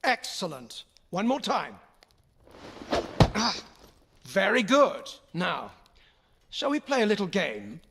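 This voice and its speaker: An actor playing a royal uncle and sword instructor in an audio game, firm, formal and encouraging. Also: male voice, sits high, wildly swooping pitch, measured pace, vocal fry, a bit bright, a little hiss or hum, full character voice. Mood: instructive, encouraging.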